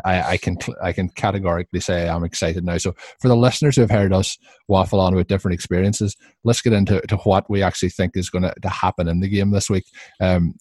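A man with a deep voice talks as a podcast host about sport. His delivery is conversational and rambles a little, and the sound is dry and close. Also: darkish tone, no echo. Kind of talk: casual sports chat.

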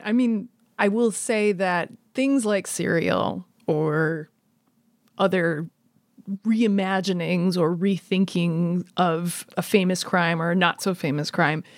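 A woman's voice: fairly low pitch, a little slow, dry recording. A woman hosting an interview in a conversational way, commenting and putting questions to her guest. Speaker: podcast interviewer, host.

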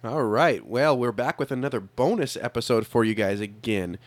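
A man's voice: medium pitch, moderate pace, no echo. A man hosting a podcast, introducing the episode in a casual, lightly scripted way. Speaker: podcast host.

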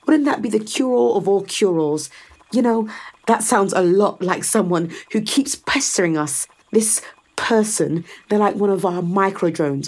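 A woman's voice: mid-range voice, darkish tone, natural voice. A woman playing a powerful, calculating executive in a science fiction audio drama, sardonic and controlled as she persuades and explains. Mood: mocking, irritated.

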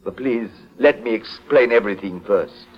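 An actor playing a polite, anxious foreign exporter in a 1940s radio detective drama, speaking formally and hesitantly. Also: male voice, lowish voice, moderate pace, breathy edge. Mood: earnest, uneasy. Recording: dull old 1940s radio broadcast.

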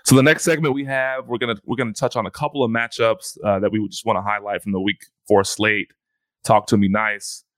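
A man's voice: on the low side, level tone, a little fast, no echo. A man co-hosting a fantasy football podcast in casual chat, often restarting his phrases.

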